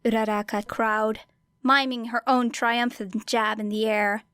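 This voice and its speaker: A woman's voice: a little high, little pitch movement, a little slow, fairly bright tone, clean tone, dry recording. A woman reading a story aloud as scripted audiobook narration. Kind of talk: scripted fan fiction narration.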